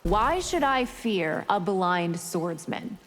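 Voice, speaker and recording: An actress playing a haughty, deadly vampire in a fighting game, speaking with cool disdain and threat. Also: female voice, medium pitch, lively intonation, slow pace, fairly bright tone. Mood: cold menace. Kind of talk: fighting game character banter. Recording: heavy background noise, long reverb.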